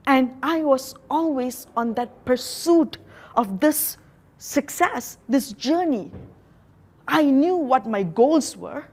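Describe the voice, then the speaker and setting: Slow, earnest high-voiced Malaysian woman. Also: expressive. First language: a Malaysian local language. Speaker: motivational speaker. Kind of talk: motivational talk.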